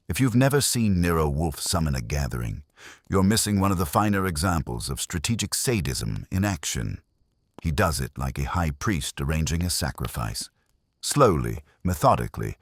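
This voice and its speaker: A man's voice: extremely low pitch, dry recording. A man narrating a detective story in the first person, wry and dry-witted in a hard-boiled style.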